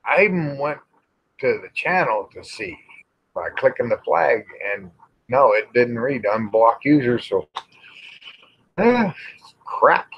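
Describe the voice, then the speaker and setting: Slow, folksy man, muffled audio. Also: mid-range voice, very animated, gravelly, slightly rough, dry recording. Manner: folksy, grumbling, drawn-out with pauses. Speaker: livestream host. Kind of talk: rambling casual livestream chat with viewers. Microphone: small microphone near the face. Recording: dull, muffled livestream audio.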